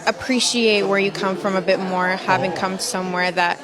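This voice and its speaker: A woman's voice: medium pitch, steady tone, moderate pace. A woman from Jamaica, an actress, reflecting fluently on her life in an interview. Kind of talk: interview answers. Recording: crowd chatter behind. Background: crowd chatter.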